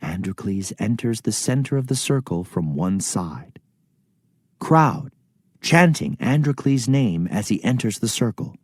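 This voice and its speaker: A man's voice: lowish voice, very animated, unhurried, breathy edge, dry recording, clean studio sound. A man narrating a scripted children's play, reading out stage directions and story.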